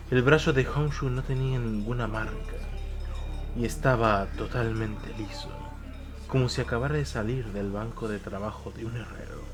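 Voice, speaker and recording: Slow, dramatic male narrator over music. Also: lowish voice. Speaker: audiobook narrator. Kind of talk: dramatic scripted narration of a war tale. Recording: music behind the voice.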